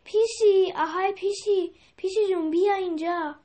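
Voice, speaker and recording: A woman voicing a sweet, gentle, lonely little doll in a children's storybook tale. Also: extremely high pitch, expressive, voice pushed up. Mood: calling sweetly.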